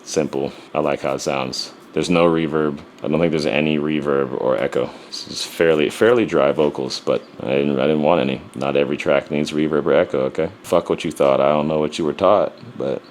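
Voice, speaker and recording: A man's voice: rumbling low, steady tone, brisk, few pauses, darkish tone, a little hiss or hum. A man who raps and produces, casually walking through his mixing steps with a profane, joking swagger. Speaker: rapper and music producer. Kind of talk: casual music production walkthrough.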